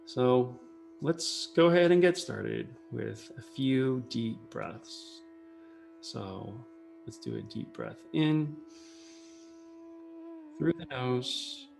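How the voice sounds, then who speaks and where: A man leading a guided meditation, speaking in short unhurried phrases with pauses between them. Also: mid-range voice, animated, gravelly. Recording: soft music behind the voice. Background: meditation music.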